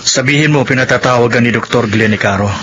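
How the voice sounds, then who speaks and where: A man in a Filipino radio drama playing a brusque but kindly doctor with a brisk, matter-of-fact manner. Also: medium pitch, a bit bright, a little hiss or hum, natural voice. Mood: firm, kind. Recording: dull lo-fi sound, music behind.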